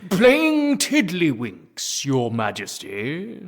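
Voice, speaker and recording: A man's voice: fairly high pitch, huge pitch swings, drawn-out words, heavily acted. A man playing a pious, theatrical medieval church elder in a comic audio drama, speaking in archaic, declamatory phrases. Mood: sheepish.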